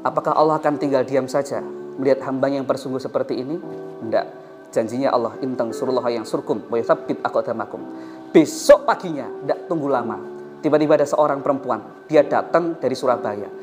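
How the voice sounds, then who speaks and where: A man's voice: mid-range voice, a little fast. Javanese-accented male preacher over music. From Indonesia. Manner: emphatic, repeats phrases and asks rhetorical questions. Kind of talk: storytelling sermon.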